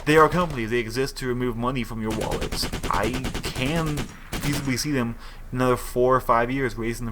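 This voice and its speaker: A man's voice: mid-range voice, a bit bright, slight background noise. A young man giving casual, opinionated gaming commentary, rambling and restarting his sentences as he thinks aloud.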